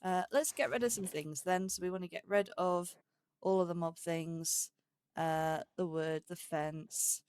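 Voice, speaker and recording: A woman's voice: fairly low pitch, fairly even intonation, measured pace, darkish tone, clear, resonant tone. A woman giving casual, chatty let's play commentary as a gaming host, thinking aloud as she goes. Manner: thinks aloud, chatty, talks to game animals.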